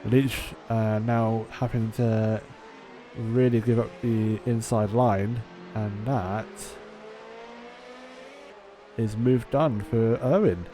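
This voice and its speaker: Low mellow guy doing chatty live race commentary, casual, faint music behind. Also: steady tone, takes it slowly. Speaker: sim racing race commentator.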